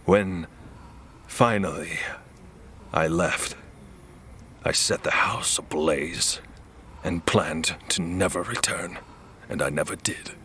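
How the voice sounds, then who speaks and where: A man playing a troubled, pleading man in an audio horror drama, speaking deliberately and dramatically. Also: sits low, sharp tone, a little hiss or hum, exaggerated voice. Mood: remorseful, weary. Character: troubled man, pleading, elderly and dying in later scene.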